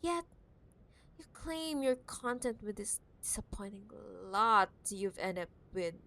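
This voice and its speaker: A woman playing a possessive, condescending wealthy CEO ex-girlfriend in an intimate cyberpunk audio roleplay, teasing and scornful with drawn-out emphasis. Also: medium pitch, animated, fairly bright tone. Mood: exasperated, scornful. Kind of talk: ASMR audio roleplay, intimate dramatic monologue.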